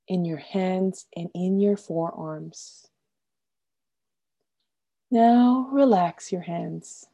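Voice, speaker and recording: Female meditation guide, extremely soft dark tone, unhurried delivery, soothing and gentle, phrases trailing off softly. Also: medium pitch. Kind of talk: guided relaxation meditation, scripted instruction.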